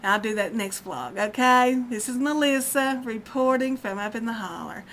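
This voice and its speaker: A rambling, chatty woman in her fifties with a fairly high voice, talking straight into a webcam in a dim room at home. A faint hiss sits under her voice. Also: expressive, moderate pace, a bit bright. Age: 50s. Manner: rambling, chatty, laughs often. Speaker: vlogger. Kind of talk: casual personal vlog. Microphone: webcam at close range.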